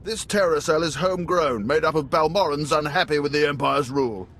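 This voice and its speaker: An actor playing an older, seasoned station chief in a video game, unhurried and avuncular. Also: male voice, on the high side, fairly bright tone, slight background noise. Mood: grave, measured. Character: seasoned older male Imperial intelligence station chief, genial, measured. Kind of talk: video game dialogue acting.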